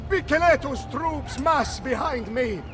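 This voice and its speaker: Game villain: arrogant Italian warlord, very high-set voice, slow menacing delivery, Italian accent, score behind. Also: male voice, expressive, music in the background. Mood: grandiose. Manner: grandiose, menacing, imperious. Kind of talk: video game cutscene acting.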